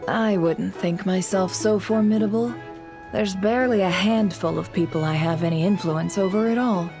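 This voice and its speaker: A woman playing a sly, smooth-talking businesswoman in a video game, coy, polite and measured. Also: mid-range voice, over music, close to an everyday voice. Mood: coy, teasing.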